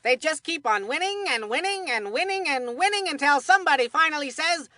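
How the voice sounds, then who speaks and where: High, bright, folksy cartoon baseball commentator. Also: female voice, animated, brisk, dry recording, clean studio sound. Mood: upbeat, folksy. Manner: folksy, colloquial, exclamatory. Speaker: sports commentator in a children's baseball video game.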